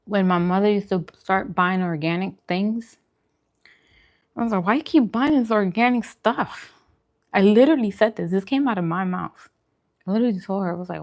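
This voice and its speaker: A woman's voice: mid-range voice, darkish tone. A woman vlogger chatting casually while eating, talking in an informal, musing, conversational way. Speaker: vegan food vlogger.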